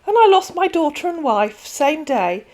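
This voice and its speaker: A woman's voice: high-pitched, very animated, moderate pace, darkish tone, voice pushed up. A woman voicing a male online romance scammer who speaks in stilted phrases, pours on syrupy darlings and turns pushy. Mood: wheedling. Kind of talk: read-aloud storytelling.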